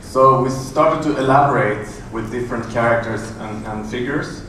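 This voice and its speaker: A middle-aged man giving a conference talk in a large hotel room, halting with many fillers and restarts. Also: medium pitch, fairly bright tone. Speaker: conference presenter. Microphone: room audio from a far camera. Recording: echoey, distant room recording with noise.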